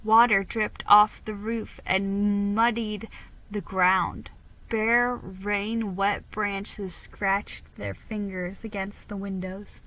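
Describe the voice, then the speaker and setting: A woman giving an expressive, deliberate dramatic reading of a children's story. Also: medium pitch, rough recording. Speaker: reader.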